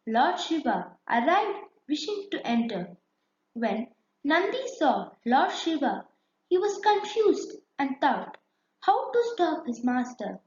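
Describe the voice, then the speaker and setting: A woman narrating a children's story in an expressive, deliberate storytelling style. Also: high-pitched. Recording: roomy echo, dull lo-fi sound.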